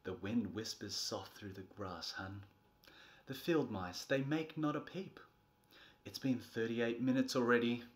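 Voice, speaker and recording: A man in his thirties doing a comedic, theatrical storybook reading, moving between a soft bedtime tone and exasperated delivery. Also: mid-range voice, measured pace, slight background noise. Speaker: presenter reading a picture book. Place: small furnished nursery room indoors.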